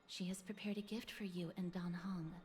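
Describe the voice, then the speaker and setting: A woman's voice: fairly low pitch, steady tone, moderate pace, darkish tone, a little hiss or hum, close to an everyday voice. An actress playing a courteous, composed young female messenger in a video game, speaking politely and formally.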